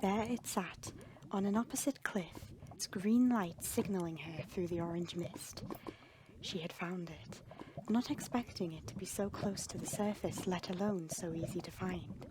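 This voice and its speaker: A woman's voice: lowish voice, unhurried, noisy background. A woman reading a dramatic scripted fantasy story as the narrator. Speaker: fan fiction narrator. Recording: music under the voice. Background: background music.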